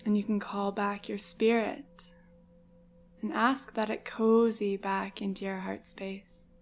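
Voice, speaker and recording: A woman leading a guided meditation as a yoga nidra guide, speaking softly and soothingly without hurry, with breathy phrase endings. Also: mid-range voice, level tone, slow pace, faint music behind.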